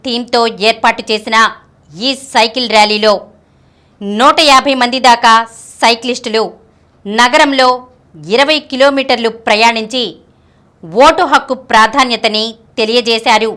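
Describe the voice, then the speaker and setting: A woman reading a news bulletin in a steady, formal newsreader manner. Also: a little high, normal speed, pauses often. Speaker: news reader.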